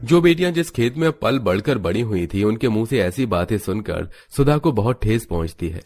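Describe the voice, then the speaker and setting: A man narrating a cartoon story in a steady, explanatory, scripted way. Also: on the low side, normal speed, a little hiss or hum.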